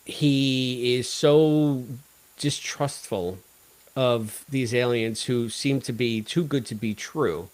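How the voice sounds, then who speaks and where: A man co-hosting a casual fan podcast, talking in an animated, emphatic way and often wandering into tangents. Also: mid-range voice, slow, low-quality recording. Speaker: podcast co-host.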